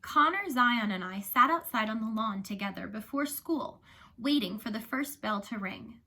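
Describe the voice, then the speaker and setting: A woman reads a children's novel aloud in a bright, fairly high voice. Her storytelling is expressive and animated, with the clear delivery of someone reading to young listeners. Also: moderate pace. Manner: animated, acts out the dialogue.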